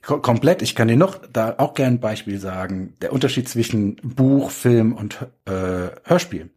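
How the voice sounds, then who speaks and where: A man's voice: medium pitch, lively intonation, moderate pace, a little mellow, husky. A man chatting casually as a podcast guest, explaining his work as a writer with examples. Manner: explains with examples and acted-out quotes. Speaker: guest, audio drama writer.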